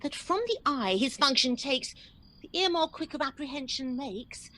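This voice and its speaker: A woman's voice: sits high, lively intonation, moderate pace, bright, forceful tone, rough recording. A woman playing a young sweetheart searching in the night, speaking Shakespearean radio verse.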